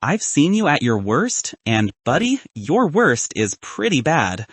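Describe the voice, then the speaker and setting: Man voicing a cynical best man turned nervous romantic in an audiobook; husky, animated, self-deprecating and sincere. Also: fairly high pitch, a little slow, dry recording, heavily acted. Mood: heartfelt toast. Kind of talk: audiobook character dialogue.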